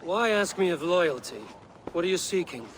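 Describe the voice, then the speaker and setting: A man's voice: high voice, animated, moderate pace, slight background noise. A man playing a wary, blunt Viking war leader in a dramatic video game scene. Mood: questioning, urgent.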